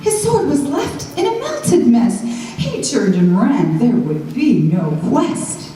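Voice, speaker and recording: A woman narrates a rhyming fairytale for children with theatrical flair. Her voice is soft-toned and very animated in pitch. It comes from a distance in a heavily echoing hall, with faint music. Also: takes it slowly. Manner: dramatic, expressive. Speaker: children's storyteller. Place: school show before children.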